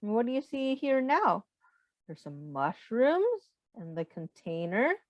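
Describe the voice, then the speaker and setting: A woman's voice: a little high, sing-song, measured pace, no echo, highs cut off. A woman reading a picture book aloud to young children, patiently explaining and asking simple questions like a teacher.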